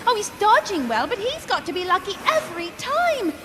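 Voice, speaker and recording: Casting: actress as earnest female dragon enthusiast, extremely high animated voice, live stage recording with loud noise. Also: fairly quick. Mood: worried. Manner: earnest, explanatory. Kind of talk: live audio theatre acting.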